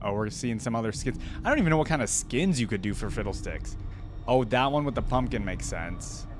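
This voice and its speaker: Man, mid-pitched voice, casual reaction commentary, exclamatory, thinking out loud, prominent music behind him. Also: lively intonation, fairly quick. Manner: informal, exclamatory, thinking aloud. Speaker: reaction video host. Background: game trailer and theme music.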